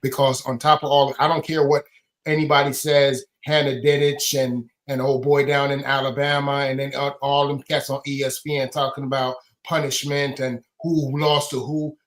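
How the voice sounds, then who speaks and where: Male co-host, average pitch, opinionated sports talk. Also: flat delivery, normal speed. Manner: opinionated, builds to emphatic points. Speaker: podcast co-host. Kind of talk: casual sports chat.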